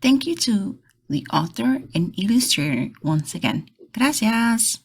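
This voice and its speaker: A woman host reading a storybook aloud for kids in an animated, deliberate, emphatic way. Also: medium pitch, vocal fry, breathy edge. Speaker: children's read-aloud channel host and teacher.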